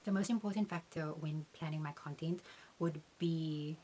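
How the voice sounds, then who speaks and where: A young adult woman, a beauty content creator, answering Q&A questions about her channel in an earnest, measured way. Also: low voice, mellow, faint music behind. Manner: earnest, measured, uses "um" between points.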